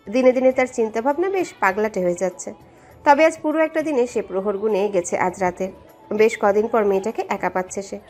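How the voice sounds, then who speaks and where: A woman's voice: on the high side, darkish tone. A woman narrating a scripted story in a dramatic storytelling style. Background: background music.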